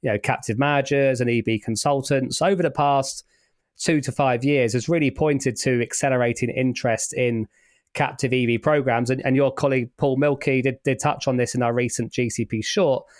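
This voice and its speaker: A man hosts an interview podcast in a mid-range voice. He talks haltingly, doubling back on words. The sound is very dry, with little room in it. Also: medium pitch, fairly even intonation, dry recording. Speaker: podcast host.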